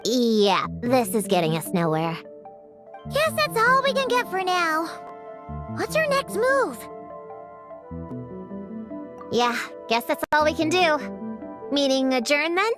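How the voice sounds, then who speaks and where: A woman's voice: high voice, lively intonation, measured pace, over music. A woman playing a bossy, self-important young girl in a video game, skeptical and trying to sound formal. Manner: pretend-formal, stumbles over big words. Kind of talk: animated game dialogue.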